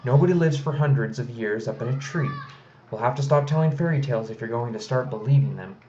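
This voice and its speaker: A man's voice: medium pitch, fast, mellow, reverberant, close to an everyday voice. A man voicing a folksy, pompous town mayor and father in a children's storybook. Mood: severe. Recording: slightly noisy, dull home recording.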